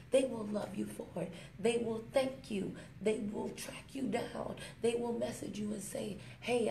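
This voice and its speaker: A woman giving a motivational vlog talk on her smartphone, emphatic and preachy, slowing down to stress her points and fond of little rhymes. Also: mid-range voice, gravelly. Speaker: network marketing vlogger. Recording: echoey room with faint ambient noise.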